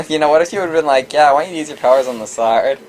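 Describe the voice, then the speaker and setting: A woman co-hosting a let's play, reading game dialogue aloud in a casual, animated way. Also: low-pitched, fast, a little hiss or hum, poor audio.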